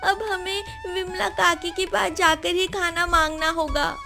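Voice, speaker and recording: A woman voicing an earnest, pleading young child in an animated moral story. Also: extremely high pitch, unhurried, creaky, soft background music, voice pushed up, exaggerated voice. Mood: hungry, sad. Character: two orphaned children, a brother and sister, earnest.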